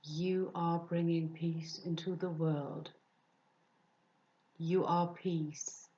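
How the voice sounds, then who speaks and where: Slow soft-spoken woman guiding meditation. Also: lowish voice, flat delivery, lo-fi sound. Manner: slow, soft, soothing with breathy whispered phrases. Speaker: meditation guide. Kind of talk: guided meditation.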